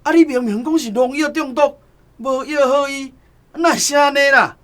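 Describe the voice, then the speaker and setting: An actor playing an indignant village father in a radio play, loud and exclaiming. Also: normal speed. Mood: aggrieved. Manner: loud, exclaiming, high-strung.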